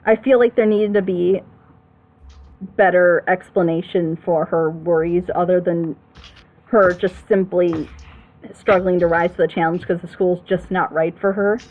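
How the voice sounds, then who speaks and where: A woman's voice: lowish voice, fairly even intonation, a little slow, phone-quality sound. A woman commentating in a casual, opinionated chat, emphatically repeating her points. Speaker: reaction show commentator.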